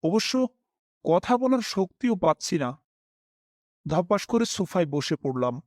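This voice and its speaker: Man acting a high-voiced, expressive, worried family man. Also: a little slow, no echo, studio quality. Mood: sombre, reflective. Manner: dramatic, emotive. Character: worried, dutiful family man narrating his own misfortune. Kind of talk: first-person horror story narration with acted dialogue.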